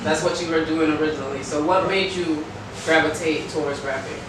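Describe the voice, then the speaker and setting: A woman's voice: low-pitched, steady tone, a little fast. A young woman hosting a casual interview, chatting and asking questions. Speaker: interviewer and host. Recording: very echoey room, distant mic, faint noise.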